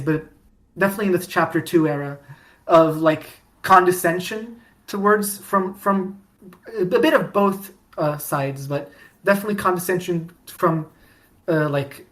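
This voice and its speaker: A woman with a fairly low voice co-hosts a casual fan podcast. She rambles eagerly, trips over her words and restarts her sentences often. She pleads and gushes when something excites her. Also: normal speed. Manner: rambling, lots of restarts and fillers; enthusiastic and pleading. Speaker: podcast co-host. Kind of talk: casual fandom chat.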